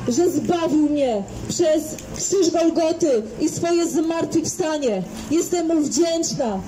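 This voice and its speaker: Young woman street preacher, very high voice, slow and deliberate, music playing under her, outdoor market. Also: rough recording. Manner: fervent, repetitive declarations. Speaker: street evangelist. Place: outdoor open-air market by a busy road. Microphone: handheld microphone, far camera.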